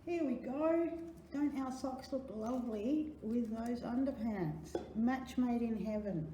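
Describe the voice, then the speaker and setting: A woman's voice: a little high, slow pace, mellow. An Australian woman presenting to children, talking through craft steps in a chatty, singsong, encouraging way. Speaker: library storytime presenter. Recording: echoey room, faint background noise.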